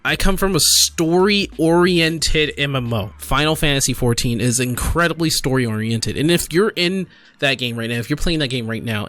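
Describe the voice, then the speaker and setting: A man giving casual reaction commentary as a gaming video creator, halting and conversational as he thinks aloud and reads out comments. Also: medium pitch, a bit bright.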